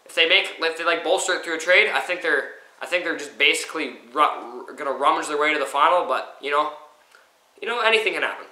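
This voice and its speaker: A man's voice: on the high side, animated, fast, sharp tone. A teenage boy co-hosting a casual hockey chat, rambling and joking with lots of fillers. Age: teens. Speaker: co-host. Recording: echoey room, far from mic.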